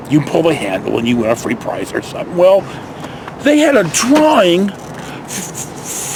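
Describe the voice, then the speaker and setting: A man's voice: high voice, vocal fry, background noise. An older American man tells personal stories in a casual, folksy, rambling way, as a vlog host speaking outdoors. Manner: folksy, digressive, mild swearing. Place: outdoors in a park.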